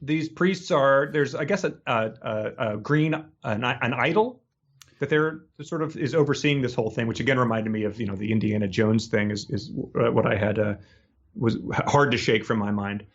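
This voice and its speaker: A man's voice: medium pitch, moderate pace. Joking man podcasting, slightly dull audio. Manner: joking, riffing, chuckles through talk. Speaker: podcast co-host. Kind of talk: casual comic book discussion.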